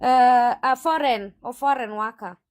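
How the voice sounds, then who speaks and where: A woman's voice: a little high, measured pace. A woman recruitment agent being interviewed, firm and sassy, answering back with her own questions.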